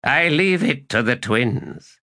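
A man voicing a weary, melancholy old male elder god, speaking in a resigned, slow and measured way. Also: medium pitch, expressive, bright, forceful tone, voice pushed up, exaggerated voice. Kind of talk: audiobook character reading.

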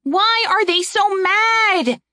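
A woman's voice: very high voice, expressive, slow pace, sharp tone, no echo, clean studio sound, voice pushed up, exaggerated voice. A woman voicing an earnest, flustered young woman standing her ground with pleading bravado in an audiobook. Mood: flustered protest. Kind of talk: audiobook character voice.

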